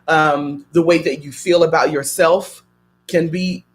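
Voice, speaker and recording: A man's voice: on the high side, fairly even intonation, measured pace. Man preaching in repeated questions. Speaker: guest. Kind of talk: radio talk show conversation.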